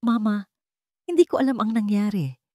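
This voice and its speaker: Woman voicing a smooth scheming groom, pitched up. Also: expressive, moderate pace, mellow, no room sound, clean studio sound, natural voice. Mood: cold. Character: young groom, outwardly tender, secretly cold schemer.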